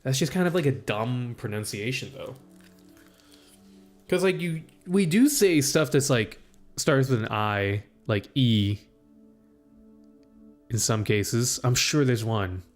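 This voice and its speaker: A young man in a casual rambling monologue, full of "like" and restarts as he thinks out loud. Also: medium pitch, expressive, slow pace, pauses often, soft background music. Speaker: student streamer.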